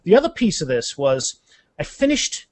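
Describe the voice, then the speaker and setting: A middle-aged man speaking casually as an author guest in an interview, animated and often repeating phrases as he goes. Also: on the high side, moderate pace, dry recording.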